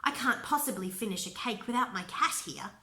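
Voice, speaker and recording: A woman's voice: on the high side, lively intonation, fairly quick, sharp tone, roomy sound. A woman voicing a fussy young woman baker who complains insistently, in a storybook reading. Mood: fretful.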